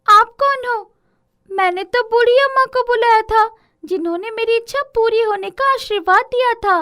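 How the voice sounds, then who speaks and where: A woman's voice: extremely high pitch, normal speed, sharp tone, voice pushed up. A woman voicing an innocent, eager little village girl in a storybook tale, earnest and inquisitive. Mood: curious.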